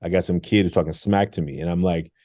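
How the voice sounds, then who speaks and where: A middle-aged man, a former engineer turned teacher, telling animated stories in an interview, acting out quotes and laughing often. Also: sits low, fast, no room sound. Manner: lively, acts out quotes with laughs.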